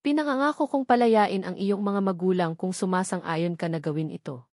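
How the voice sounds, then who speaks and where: A woman voicing a stern, measured male martial-arts master in a storybook reading. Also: medium pitch, darkish tone, dry recording, studio quality, natural voice. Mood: coldly commanding.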